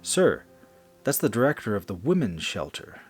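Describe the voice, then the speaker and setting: A man's voice: fairly low pitch, very animated, moderate pace, breathy edge, faint music behind. A man voicing a shocked, earnest investigator at a death scene in a horror story.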